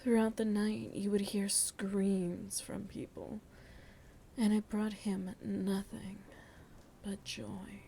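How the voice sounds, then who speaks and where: A slow, softly breathy vampire seductress played by a woman murmurs to the listener with worldly, teasing tenderness. Her mellow voice keeps an even, narrow pitch and often sinks into a whisper, as in an intimate ASMR roleplay. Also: slight background noise. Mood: musing, intimate. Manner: slow, deliberate, musing, addresses listener tenderly. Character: seductive motherly female vampire, worldly, philosophical, gently teasing.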